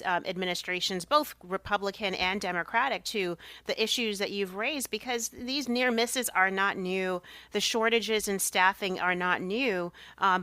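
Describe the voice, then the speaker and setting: A woman's voice: medium pitch, bright, forceful tone, no echo. A woman hosting a news talk radio show, putting questions to a guest in a conversational way.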